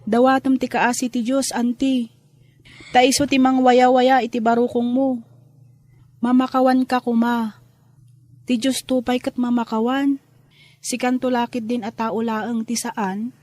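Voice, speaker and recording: Woman acting even-toned, caring Ilocano niece. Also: fairly high pitch, unhurried, long pauses, no room sound, lightly acted. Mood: pleading, consoling. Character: caring, pious niece gently admonishing her aunt. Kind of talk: Ilocano radio-style drama acting.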